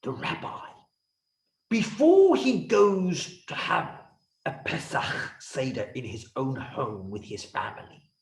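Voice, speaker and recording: A man acts out a sly, bumbling male prime minister from a children's story. He is obsequious and halting, with big swoops in pitch and an unhurried, insinuating delivery in an echoey room. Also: wildly swooping pitch, exaggerated voice. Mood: conspiratorial. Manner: stammering, throat-clearing, insinuating. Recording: roomy, echoey room sound.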